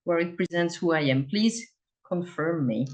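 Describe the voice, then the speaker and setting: Woman guest speaker on a video call with a Belgian French accent. Her voice is lowish, and her delivery is friendly with light laughs. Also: normal speed. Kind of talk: online presentation. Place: home in Portugal.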